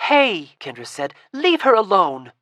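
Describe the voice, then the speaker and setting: Brief: woman playing a defiant teen girl, high and animated. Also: a little slow, no echo, lo-fi sound. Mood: angry. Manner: earnest, pleading, turning defiant. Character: teenage girl, brave and stubborn.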